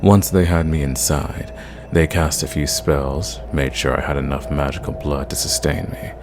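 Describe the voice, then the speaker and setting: A man voicing a centuries-old sadistic vampire in an ASMR roleplay, possessive and condescending, savoring his words and sometimes dropping to a breathy whisper. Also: steady tone, normal speed, music in the background. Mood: bitter, brooding. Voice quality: deep, gravelly.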